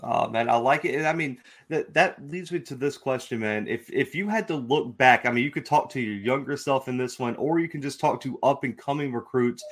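A man's voice: mid-range voice, fairly quick, hardly any pauses. A man with an American accent hosting a sports podcast, asking casual interview questions in a chummy, informal way.

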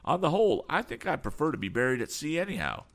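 A man voicing an excitable, fussy, eccentric older gentleman in a storybook, chattering nervously with quaint exclamations. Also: fairly high pitch, fast, vocal fry, voice pushed up. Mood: eager, cheerful.

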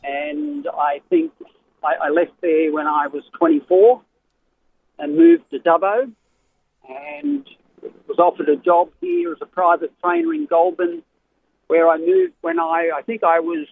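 A man's voice: a little high, lively intonation, slow, vocal fry, long pauses. Australian man, rambling, hesitant, phone-line audio, faint hiss. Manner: rambling, hesitant, many fillers and restarts. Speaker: racehorse trainer guest. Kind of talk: casual radio interview.

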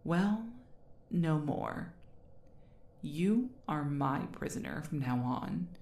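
A woman voicing a haughty demon queen in an ASMR roleplay, imperious and condescending, teasing and menacing her captive in drawn-out, theatrical phrases. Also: low voice, lively intonation, darkish tone, roomy sound. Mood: contemptuous.

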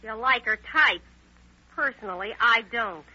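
Brassy American moll, high sharp voice, wisecracking and defiant, 1930s radio drama, muffled sound. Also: female voice, animated, measured pace, heavily acted. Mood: sullen, sardonic. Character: tough, brassy, sarcastic young gangster's girlfriend under questioning. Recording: muffled, scratchy old radio broadcast.

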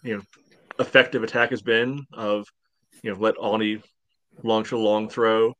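A man's voice: lowish voice, flat delivery, unhurried, fairly bright tone. A man speaking as a panelist in a casual sports podcast discussion.

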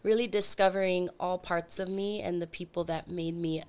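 A woman from Hawaii in her thirties, chatting as a podcast guest. Her voice is smooth and clear and sits a bit low, and she tells her story loosely with fillers and restarts. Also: fairly even intonation, normal speed, darkish tone. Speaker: guest, singer-songwriter and producer. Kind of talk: casual interview chat.

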